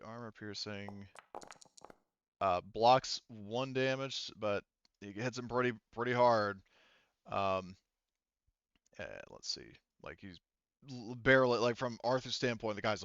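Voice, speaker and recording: A man acting as game master, narrating a tabletop roleplaying game in a halting, thinking-aloud way. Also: lowish voice, lively intonation, creaky, fairly bright tone, no echo, dull audio. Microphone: headset.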